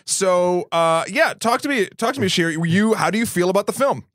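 A man's voice: high voice, brisk, a bit bright, no room sound, studio quality. A man from Massachusetts hosting a casual podcast chat, leading the talk with jokes and plenty of fillers. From Massachusetts, United States. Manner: jokey, leads the talk, many fillers. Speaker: podcast host. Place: small room.

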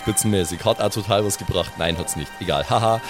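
A man with a slightly low voice chats casually as a player in a tabletop game. His manner is joking and ironic, and music plays clearly behind him. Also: fast. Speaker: podcast player. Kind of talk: casual tabletop roleplay banter.

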